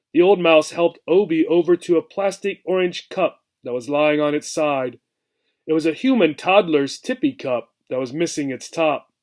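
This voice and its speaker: A man reading a children's book aloud expressively, as a teacher would, pausing to explain. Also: on the high side, a little mellow. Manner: explanatory, checks in with "right".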